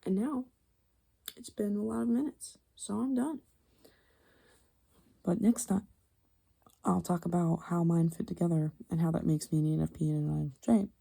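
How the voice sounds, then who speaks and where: Young woman doing soft breathy asmr, mumbly and whispery, lowish mellow voice, just thinking out loud. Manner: unhurried, murmured, informal musing aloud. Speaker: ASMR video host. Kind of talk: ASMR, soft-spoken personality explainer while drawing.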